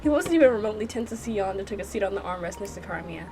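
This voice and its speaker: A woman's voice: mid-range voice, lively intonation, racing, background noise. A woman hosting a gaming channel, casually reacting and narrating with playful, chatty asides. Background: music.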